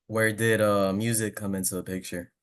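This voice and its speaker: Casting: relaxed male interviewer, lowish voice, slangy easygoing questions. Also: moderate pace, clean tone. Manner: relaxed, slangy, short affirmations. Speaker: interview host. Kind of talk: casual interview.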